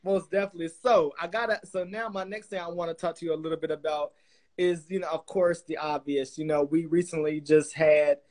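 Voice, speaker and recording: Young man, high voice, effusive host, video call, lots of fillers. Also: fairly even intonation, fairly quick, a little mellow. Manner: effusive, many fillers and restarts. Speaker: podcast host. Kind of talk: casual video-call interview. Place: small room indoors.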